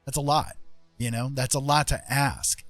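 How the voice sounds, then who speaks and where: A man's voice: medium pitch, steady tone, normal speed, a bit bright. A man chatting casually as a livestream host, explaining things in a rambling, emphatic way and repeating phrases for stress. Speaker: streamer host. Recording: music playing behind the voice. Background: music.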